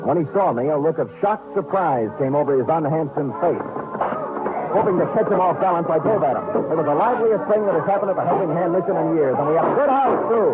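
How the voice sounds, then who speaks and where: Wry radio private eye played by an actor, tinny. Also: male voice, a little high, quick pace, natural voice. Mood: wry, narrating. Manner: wry, clipped, deadpan storytelling. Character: wry hard-boiled male private detective, flirtatious and tough. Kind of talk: 1940s-50s radio detective drama acting, narrated case report. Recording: tinny old-time radio recording, music behind.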